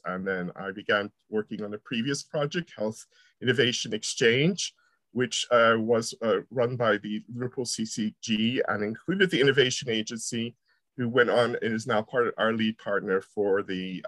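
A man's voice: fairly low pitch, a bit bright, no room sound, rough recording. American man answering interview questions. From United States. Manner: hesitant, many uh fillers, friendly laughs. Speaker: university researcher guest.

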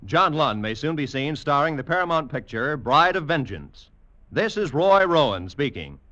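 A man's voice: a little high, sing-song, moderate pace, sharp tone. A man announcing in a polished, emphatic, scripted 1940s network radio style. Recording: dull 1940s radio broadcast recording.

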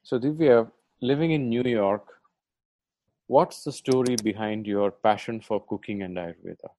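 A man's voice: fairly low pitch, a little slow, dark tone, a little hiss or hum. A man hosting a podcast, talking in a loose conversational way. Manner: conversational, loose phrasing with "like" and "you know". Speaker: podcast host. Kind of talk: podcast interview.

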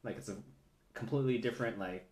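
A man hosting a casual chat, halting, with many fillers and restarts. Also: medium pitch, a little mellow. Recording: echoey room.

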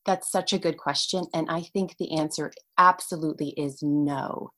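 A woman whose first language is Hungarian speaks as a podcast guest, explaining her work in language education. Her voice sits a little low for a woman, and she talks with enthusiasm and clear, well-organized phrasing. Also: fairly even intonation, normal speed, fairly bright tone. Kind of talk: conversational podcast interview.

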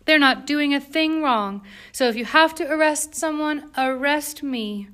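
A woman's voice: high-pitched, unhurried, fairly bright tone, pitched above the performer's own voice. A woman voicing an impassioned society lady in a storybook reading, pleading and defiant.

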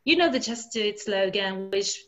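A woman's voice: mid-range voice, animated, moderate pace. A woman from Argentina, talking casually about translation with frequent fillers and restarts. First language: Spanish. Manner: hesitant, many fillers and restarts. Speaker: translator discussing research. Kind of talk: casual conversation.

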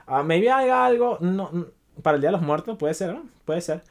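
A man's voice: high-pitched, expressive, brisk. A young man from Venezuela with a Venezuelan accent, hosting a casual solo podcast in a loose, chatty way that drops English words in. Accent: Venezuelan Spanish. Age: young adult. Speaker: podcast host.

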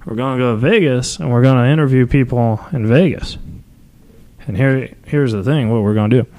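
A man hosting a casual live podcast, talking as a comedian in a rambling, halting, self-deprecating way. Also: medium pitch, warm tone. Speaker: podcast host and stand-up comedian.

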